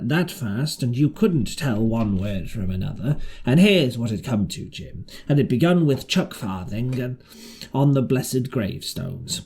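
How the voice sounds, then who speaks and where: Man putting on a hoarse, halting castaway sailor. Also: fairly low pitch, darkish tone, exaggerated voice. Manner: slow, halting, rustic sailor dialect. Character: ragged marooned old sailor, wheedling, pious, half-wild. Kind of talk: audiobook character voice.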